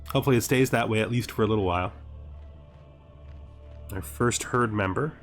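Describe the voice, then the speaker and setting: A man's voice: on the low side, brisk. A man giving casual video game commentary, thinking aloud with rhetorical questions and exasperated asides, sometimes reading game text aloud. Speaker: gaming series host. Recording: music behind the voice. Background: background music.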